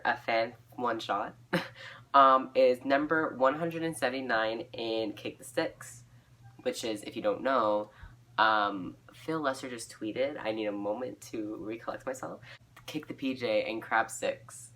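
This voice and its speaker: A woman's voice: extremely low pitch, lively intonation, slow, fairly bright tone. A woman reading her old fan fiction aloud, giggly and self-mocking, dropping into casual asides.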